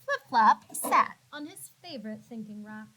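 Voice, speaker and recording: A woman narrating a children's storybook as a teacher would, expressively, with drawn-out words and dramatic emphasis for young listeners. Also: on the high side, expressive, bright, forceful tone. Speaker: classroom teacher reading aloud.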